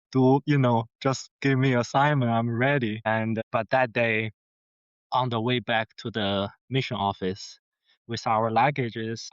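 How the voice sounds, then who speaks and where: A young man with a Mandarin Chinese accent recounts his story earnestly, pausing and restarting as he finds his English words. His voice is smooth and clear, recorded close and dry with a slightly dull, lo-fi tone. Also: medium pitch, dry recording. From China. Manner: earnest, halting, frequent restarts. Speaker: podcast guest. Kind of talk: personal storytelling.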